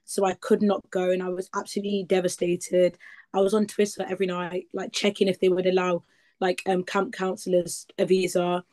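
A woman's voice: lowish voice, flat delivery, a little fast, darkish tone. A woman, chatting as a guest in a rambling, casual way full of likes and ums. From East Midlands, England. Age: 20s. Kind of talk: casual interview chat.

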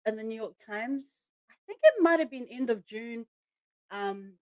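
A woman's voice: on the high side, lively intonation, unhurried, darkish tone, no room sound. A woman hosting a casual interview podcast, enthusiastic and speaking to her guest in a familiar, sisterly way. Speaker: podcast host.

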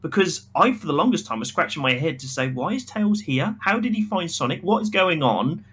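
A man with a fairly high, very bright and forceful voice. He talks as a podcast co-host in a casual film discussion, argues his opinions emphatically and slips into mock quotes to make his points. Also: brisk, breathy edge. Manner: emphatic, animated arguing with acted-out mock quotes.

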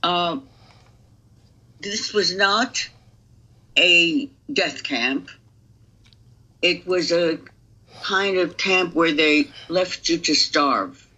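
Woman in her 80s from Romania, interview guest, measured halting delivery, grave, muffled sound. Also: fairly low pitch, sharp tone. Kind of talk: personal testimony.

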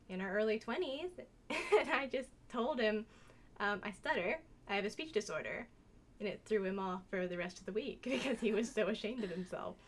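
A woman's voice: mid-range voice, a little hiss or hum. A woman in her twenties speaking candidly as a podcast guest, with an occasional stutter. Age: 20s. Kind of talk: podcast conversation.

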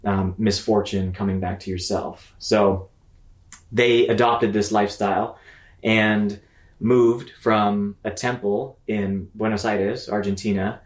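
Low-voiced man in an echoey room. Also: steady tone, slow pace. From Mississippi, United States. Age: 30s. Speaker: interview guest. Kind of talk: conversational personal storytelling. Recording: roomy, echoing sound.

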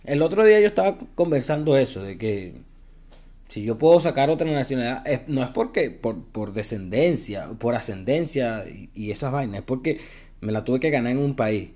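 A man's voice: medium pitch, animated, moderate pace, dark tone. A young man with a Venezuelan accent, talking bluntly and casually in a podcast monologue, swearing freely as he gives his opinions. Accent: Venezuelan Spanish. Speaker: podcast host.

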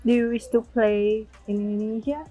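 A woman's voice: fairly high pitch, fairly even intonation, normal speed, warm tone, faint music behind, poor audio. A woman interviewing a young footballer, asking short questions and humming along as he answers. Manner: short prompts and backchannel hums.

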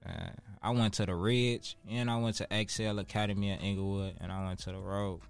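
A man's voice: fairly low pitch, level tone, a little fast, clean tone, faint music behind. A man in his twenties with a Chicago accent, talking casually as an interview guest in street slang, with profanity and emphatic repetition. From Chicago, United States. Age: 20s.

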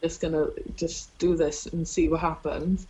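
A woman's voice: deep voice, little pitch movement, unhurried, a little mellow, poor audio. A young woman with a Welsh accent, a stand-up comedian chatting in an interview, rambling and self-deprecating with lots of fillers. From South Wales. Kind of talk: casual interview chat.

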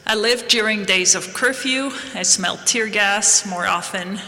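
A woman, from Palestine, giving a slow, measured, earnest devotional address to a congregation. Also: medium pitch, bright, forceful tone. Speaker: guest speaker at a church devotional. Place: large historic hall. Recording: long reverb of a large hall.

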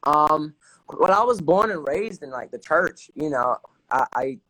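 A man's voice: a little high, expressive, a little slow, bright, forceful tone, breathy edge, low-quality recording. A man from New Jersey with a Dominican-influenced Jersey accent, talking casually as an interview guest, repeating himself for emphasis and teasing playfully. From New Jersey, USA.

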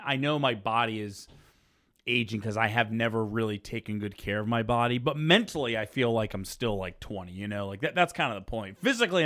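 A man's voice: mid-range voice, pushed and bright. A man in his thirties hosting a casual podcast, rambling and joking with plenty of fillers and restarts. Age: 30s. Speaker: podcast host. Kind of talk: casual chat.

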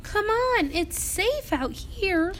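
A plucky, excitable little pig from a children's storybook, acted out by a woman. The voice is extremely high and very slow, with playful, exclamatory lines that leap up and down. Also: slight background noise, heavily acted. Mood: excited, curious.

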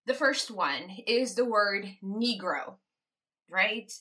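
Woman from the Caribbean, solo podcast host, slow unhurried delivery, bright voice, reflective with light laughs. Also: medium pitch, animated. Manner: reflective, laughs between points. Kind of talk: casual solo podcast monologue.

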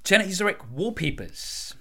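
A man's voice: high-pitched, very animated, moderate pace, vocal fry, pushed and bright. A man, likely in his twenties, hosting a casual conversational interview. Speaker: interview host, content creator. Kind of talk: casual chat.

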